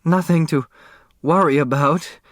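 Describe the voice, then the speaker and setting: A man's voice: fairly high pitch, unhurried, fairly bright tone, no echo, clean studio sound, voice pushed up. A man voicing a determined society mother and hostess who breezily glosses over trouble in a storybook. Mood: shaken reassurance.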